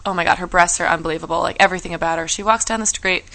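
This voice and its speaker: A young woman hosting a casual chat show, telling stories in an animated, giggly way. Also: lowish voice, rapid-fire, creaky, low-quality recording. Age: young adult. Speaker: show host.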